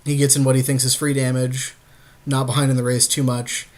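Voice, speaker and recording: A man's voice: mid-range voice, flat delivery, a little fast. A man co-hosting a podcast, chatting casually and agreeably about strategy in a card game he is helping to play. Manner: agreeable, conversational.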